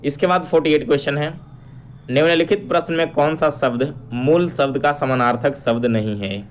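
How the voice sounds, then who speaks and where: A man's voice: fairly high pitch, normal speed, clean tone. A man teaching an exam-prep lesson, addressing learners directly and restating each question before explaining it.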